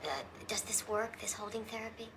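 A woman's voice: on the high side, completely flat delivery, a little fast, natural voice. An actress playing a worried but determined mother in a film drama. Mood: troubled, determined. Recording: clear background noise.

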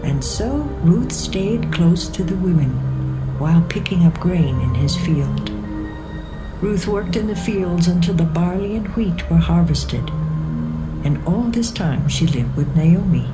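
A woman's voice: lowish voice, lively intonation, unhurried, rough recording. A woman narrating a scripted, dramatised Bible story. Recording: music behind the voice.

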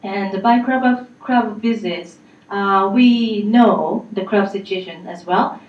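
A woman's voice: mid-range voice, slow pace, a little mellow. Hesitant woman heard from across a room. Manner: hesitant, many fillers. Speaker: workshop participant. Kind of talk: answering in a group discussion. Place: large meeting room. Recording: dull, echoey, from far off.

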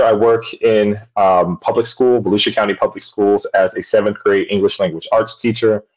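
Thirties man, teacher guest, lowish voice, clear and measured, on muffled lo-fi call audio. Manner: articulate, measured. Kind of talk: video call interview. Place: home room. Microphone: webcam on a video call. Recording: dull lo-fi video call audio.

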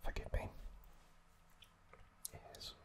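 A man whispering an ASMR story recap in a hushed, unhurried, hesitant way as the host.

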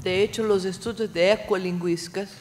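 A woman's voice: mid-range voice, steady tone, a little fast, darkish tone, slight background noise. A middle-aged woman, a native Portuguese speaker with a Brazilian accent, gives an academic lecture in Spanish. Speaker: plenary speaker. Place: large brick-walled university auditorium. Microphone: desk gooseneck microphone. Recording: long reverberant auditorium sound.

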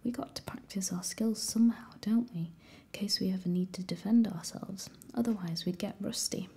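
A woman's voice: medium pitch, moderate pace, creaky, mellow, slightly rough. A woman reading aloud and voicing a cocky, scheming teenage boy who raises the dead, all in a whisper. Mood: sly. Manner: whispered, sly and teasing.

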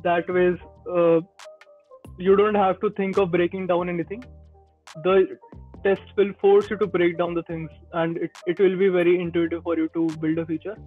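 Podcast guest: man in his twenties, Indian accent, high voice, explaining informally over a thin phone-like connection. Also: little pitch movement, normal speed. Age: 20s. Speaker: podcast guest, Android developer. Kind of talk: casual advice in a video-call interview. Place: small indoor room. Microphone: earbuds on a video call. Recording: tinny phone-like call audio, faint music.

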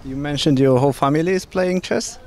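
A man asking questions as a sports interviewer, in halting, non-native English. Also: on the high side, a little mellow. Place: large indoor lobby. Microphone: handheld reporter microphone.

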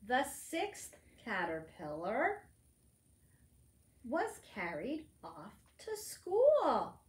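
A middle-aged woman reads a picture book aloud to preschoolers in an expressive, teacherly manner, adding simple asides. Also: a little high, extremely slow. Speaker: librarian storytime reader. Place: library room among bookshelves, indoors. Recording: roomy indoor echo.

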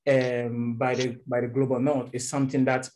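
A man's voice: mid-range voice, level tone, darkish tone. Earnest young man from Ghana. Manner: earnest, halting with fillers. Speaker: global youth worker, panelist. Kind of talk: panel answer on video call. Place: small indoor room with door. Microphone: video call.

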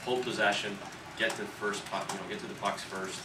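An earnest coach in his thirties talks through a postgame press conference, restarting his sentences with repeated words. His low, even voice carries the echo of a room and sits at a distance from the table mic. Loud background noise runs under everything. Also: brisk. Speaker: college hockey coach. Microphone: table mic from the camera's distance.

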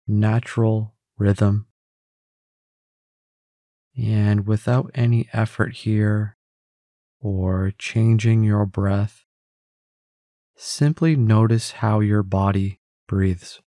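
A man leading a guided meditation in a calm, gentle, unhurried way, giving simple instructions with pauses. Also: fairly low pitch, dry recording, clean studio sound. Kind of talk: guided meditation, scripted narration.

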